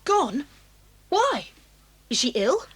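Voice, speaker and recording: Fairly high voice, wistful English schoolgirl, proud, frank and misunderstood, children's audio drama. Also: very animated, extremely slow, exaggerated voice. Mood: surprised. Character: lonely, proud, misunderstood boarding-school girl.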